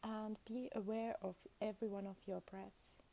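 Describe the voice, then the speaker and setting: A woman leading a guided meditation as a teacher, speaking soothingly in short phrases with long pauses between them. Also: medium pitch, little pitch movement, vocal fry, warm tone.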